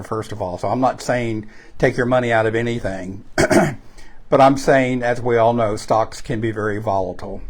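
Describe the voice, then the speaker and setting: A man with a Texan accent talks straight to the listener in a folksy, conversational sales pitch. His voice sits at an ordinary middle pitch, and he often stops to correct himself or fill pauses with "uh" and "um". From Texas. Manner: folksy and chatty, with frequent fillers and self-corrections. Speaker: real estate investment promoter. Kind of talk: direct-to-camera sales pitch.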